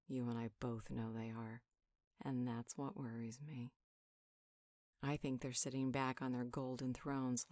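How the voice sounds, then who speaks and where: A woman playing a calm, wise healer in an audio roleplay, talking a friend through things in a measured, reassuring, conversational way. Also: extremely low pitch, no room sound, clean studio sound. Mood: wry, worried. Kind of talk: conversational roleplay monologue, one side of a dialogue.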